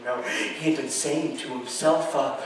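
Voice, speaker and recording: A man on stage playing a deranged, obsessive murderer confessing with chuckling glee, halting and repeating himself in a theatrical gothic horror reading. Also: a little high, fairly even intonation, natural voice. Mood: hushed, sly, obsessive. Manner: halting, repetitive, swinging from hushed to shouted. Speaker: stage performer. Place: live show at an amusement park. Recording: echoey venue, distant mic, faint noise.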